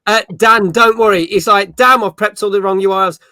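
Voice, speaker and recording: A man's voice: high-pitched, steady tone, fairly quick, bright, forceful tone, dry recording, studio quality. A middle-aged man hosting a casual gaming chat and reading out chat messages in an upbeat, rambling way. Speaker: host.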